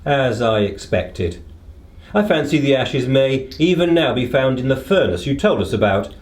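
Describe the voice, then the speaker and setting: A man playing a shrewd, commanding British detective in a radio play, speaking deliberately and with theatrical authority. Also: mid-range voice, lively intonation, roomy sound, lightly acted. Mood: brisk, assured. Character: shrewd, commanding male detective, coolly reasoning.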